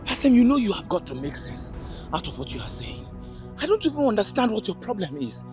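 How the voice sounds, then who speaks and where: Un homme jouant Veshima, a defensive pleading friend. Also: male voice, high-pitched, very animated, brisk, darkish tone, soft background music. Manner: pleading, protesting innocence. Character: anxious defensive young man caught between friends.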